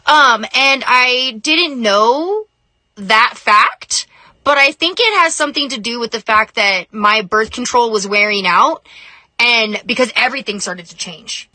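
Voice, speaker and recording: A woman's voice: on the high side, animated, unhurried, sharp tone, dry recording. A woman talking casually to camera in a rambling, chatty way, full of "like" and "um". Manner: chatty, rambling, uses fillers like "like" and "um". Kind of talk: casual vlog confession.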